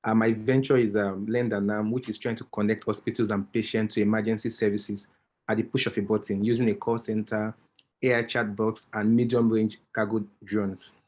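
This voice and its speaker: A man's voice: on the low side, level tone, normal speed, a little mellow. A man from Nigeria, introducing himself politely and earnestly on a video call. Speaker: fellow, social entrepreneur.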